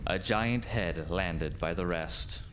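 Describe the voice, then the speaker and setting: Male storyteller, deep pitch, scripted storybook narration, clearly noisy background. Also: slow pace.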